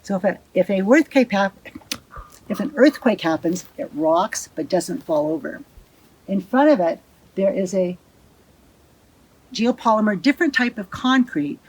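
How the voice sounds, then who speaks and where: A woman's voice: mid-range voice, expressive, unhurried, pauses often, darkish tone, breathy edge, low-quality recording. A woman presenting and explaining a site as a guide, speaking outdoors on location.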